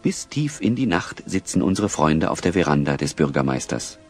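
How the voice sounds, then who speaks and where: A man narrating a German children's audio drama, with dramatic, measured phrasing. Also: very deep voice, very animated, soft background music. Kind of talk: scripted audio drama storytelling.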